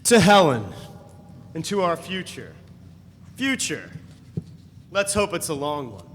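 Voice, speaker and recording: A man reading the part of a charming man who courts the narrator, with a smooth, intimate delivery. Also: a little high, big pitch swings, takes it slowly. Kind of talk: dramatic reading of a novel. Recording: echoey room with faint noise.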